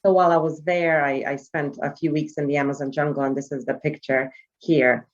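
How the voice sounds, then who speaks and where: Low-voiced New woman presenting online. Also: steady tone, brisk, dull audio. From United States. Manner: chatty, many fillers and restarts. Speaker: travel sales trainer. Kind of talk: webinar presentation.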